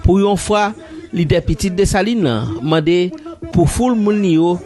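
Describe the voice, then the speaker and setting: A man narrating a news report in a steady reporting delivery. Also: sits high, a little slow, soft background music.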